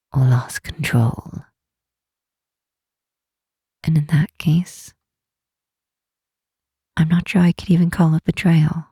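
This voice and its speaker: A woman voicing a powerful, protective demon queen in a soft, breathy half-whisper, speaking slowly and tenderly in an intimate ASMR roleplay. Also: low-pitched, no echo, studio quality, full character voice. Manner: slow, tender, solemn, with deliberate pauses.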